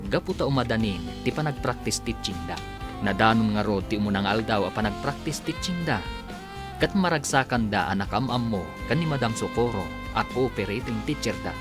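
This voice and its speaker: A male announcer narrates a radio drama in a dramatic, scripted style. His Ilocano-accented voice swings in pitch, over a clear music bed.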